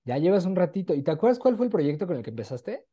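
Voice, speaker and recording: A man's voice: high-pitched, big pitch swings, fast, warm tone, highs cut off. A man in his twenties with a Mexican accent, interviewing casually and enthusiastically over a video call. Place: small room at home, on a video call.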